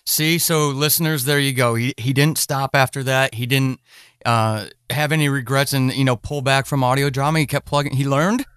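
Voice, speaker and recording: A man's voice: medium pitch, steady tone, moderate pace, a bit bright, clean tone, dry recording, studio quality. A man hosting a podcast interview in a casual, earnest and encouraging way.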